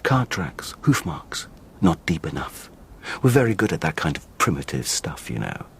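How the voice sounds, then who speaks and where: An actor in a radio drama playing a menacing, coolly sardonic barbarian captor, deliberate and taunting. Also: male voice, a bass voice, sing-song, gravelly, slightly rough, heavily acted.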